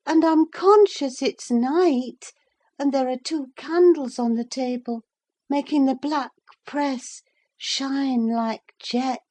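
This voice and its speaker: A woman reading an audiobook, voicing a delirious, frightened young woman in a high, breathless, anxious voice. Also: slow pace, warm tone. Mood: dreamy. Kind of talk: audiobook character voice.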